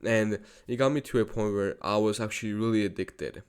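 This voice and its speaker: Earnest young man, low voice. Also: brisk, clean tone. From Georgia. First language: Georgian. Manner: earnest, repetitive, frequent swearing. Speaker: vlogger. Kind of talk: personal confessional monologue.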